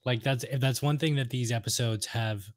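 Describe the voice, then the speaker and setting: A man with an average-pitched voice chats informally as a co-host. He is agreeable and tends to say short phrases twice over. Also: steady tone, brisk. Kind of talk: casual chat.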